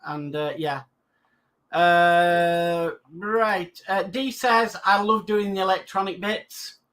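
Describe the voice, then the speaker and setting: Bright-voiced man reading chat. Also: sits high, steady tone, takes it slowly. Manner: reads chat comments aloud, then chats loosely. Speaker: livestream co-host reading viewer comments. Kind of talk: casual chat.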